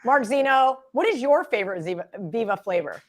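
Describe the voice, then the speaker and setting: Raspy woman, fairly high, lively joking podcast host. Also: brisk. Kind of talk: sports betting podcast chat.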